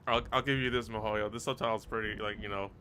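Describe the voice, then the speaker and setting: A man's voice: fairly low pitch, steady tone, fast, pushed and bright, slight background noise. A man giving casual commentary as he streams a video game. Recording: game music behind the voice. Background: game music.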